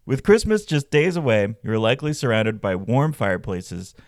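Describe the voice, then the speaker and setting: A man hosting a podcast, reading scripted narration and stories in a measured, dramatic way. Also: lowish voice, big pitch swings, studio quality. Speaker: podcast host and storyteller. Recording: roomy echo, faint music behind. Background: faint background music.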